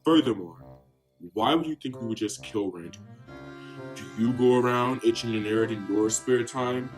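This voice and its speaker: A man's voice: mid-range voice, moderate pace, soft background music, natural voice. A man playing an indignant, self-justifying hired assassin in a comic radio play, earnest and complaining.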